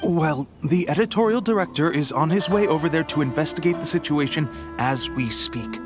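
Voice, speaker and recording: A man's voice: on the high side, moderate pace, natural voice. A man voicing a polite, apologetic male editor in a video game. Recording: game audio with music behind.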